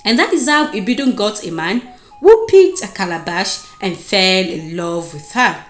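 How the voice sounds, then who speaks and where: A woman's voice: medium pitch, expressive, moderate pace. A woman with a Nigerian accent narrating a scripted folktale dramatically as a storyteller. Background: music.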